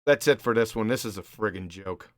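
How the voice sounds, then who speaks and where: A man's voice: on the low side, brisk, no echo, clean studio sound. A man hosting a live panel commentary, mocking and emphatic, hammering home short repeated phrases. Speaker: host of a live debunking show.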